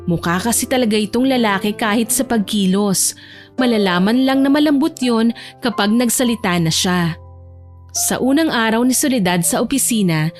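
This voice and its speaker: A woman reading scripted narration for a romance audio drama, with music playing behind her. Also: mid-range voice.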